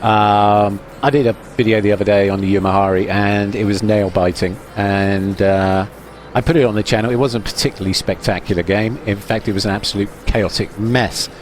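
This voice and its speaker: A man's voice: lowish voice, flat delivery, brisk, a little hiss or hum. A man giving live, chatty commentary while playing an online naval game, wry and self-deprecating as he thinks aloud. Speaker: gaming video host.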